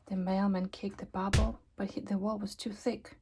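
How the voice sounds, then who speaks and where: A woman reading a children's story aloud expressively, with dramatic emphasis and pauses. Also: medium pitch, flat delivery, vocal fry, over music. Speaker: storybook reader.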